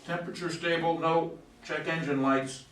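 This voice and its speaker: A man's voice: on the high side, steady tone, normal speed, a bit bright, slight background noise, lightly acted. A man playing an easygoing, teasing astronaut in an amateur play reading, with a casual, slightly stumbling delivery. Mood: relaxed, dutiful. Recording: echoey room, distant mic.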